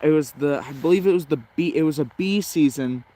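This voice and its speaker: A man's voice: on the high side, fairly quick, dark tone. A man giving casual, off-the-cuff commentary as a radio hobbyist, hesitant and rambling, often correcting himself. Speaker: shortwave radio hobbyist narrating a tuning session.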